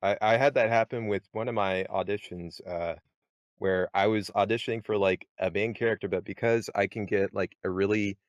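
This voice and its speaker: A man hosts a podcast in easy, chatty talk with a lowish voice. The sound is dry and close, with no room around it. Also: normal speed, dry recording, highs cut off. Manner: relaxed, chatty, laughs often. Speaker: podcast host. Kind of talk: casual podcast chat.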